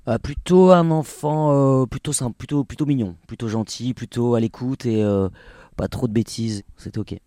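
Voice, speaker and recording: A man's voice: medium pitch, moderate pace, mellow, no echo, clean studio sound. A man in his forties from the French Basque Country, a Basque native speaker, talking casually as an interview guest with many hesitations and restarts. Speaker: singer and actor guest. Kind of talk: relaxed interview talk.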